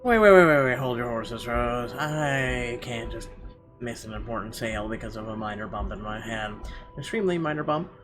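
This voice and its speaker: A man's voice: mid-range voice, moderate pace. A man doing a let's play, reading visual novel narration aloud expressively with occasional stumbles. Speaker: let's player. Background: music.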